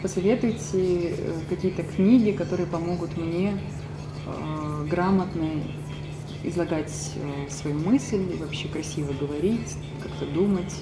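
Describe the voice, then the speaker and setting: A woman in her thirties with a low voice talks in a relaxed, reflective way, often tucking small affirming asides into her sentences. Loud music plays in the background throughout. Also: unhurried, poor audio. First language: Russian. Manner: thoughtful, reflective, frequent "да" asides. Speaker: interview guest, editorial director. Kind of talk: casual conversation.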